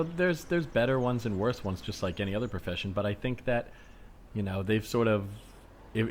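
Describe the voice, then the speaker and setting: A man playing an earnest, mild-mannered hospital worker out jogging in an improvised comedy scene, speaking casually in a halting, tentative way. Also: fairly low pitch, close to an everyday voice. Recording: background noise of outdoor soundscape. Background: added outdoor ambience soundscape.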